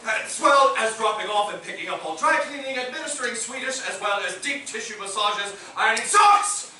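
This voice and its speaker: A man's voice: very high-pitched, brisk, sharp tone, heavily acted. A man playing a fussy, formal, put-upon butler of fifty in a staged comedy reading. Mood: indignant, pompous. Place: theater stage, recorded from a distance. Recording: echoey theater, distant mic, faint noise.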